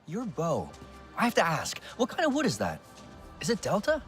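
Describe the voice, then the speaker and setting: An actor playing a good-natured, talkative human builder in a video game, full of enthusiasm. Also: male voice, a little high, normal speed, faint music behind. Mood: enthusiastic. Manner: talkative, animated. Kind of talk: video game dialogue acting.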